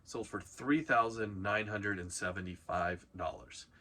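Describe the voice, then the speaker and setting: Bright-voiced man hosting a casual countdown. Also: lowish voice, measured pace. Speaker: host of a reselling video channel. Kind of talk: casual countdown commentary to camera.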